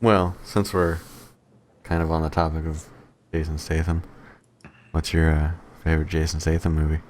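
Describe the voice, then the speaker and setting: A man's voice: very deep voice, flat delivery, normal speed, darkish tone, a little hiss or hum. A man co-hosting a podcast, chatting casually about films and telling stories conversationally with some swearing. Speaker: podcast co-host. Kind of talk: casual movie banter.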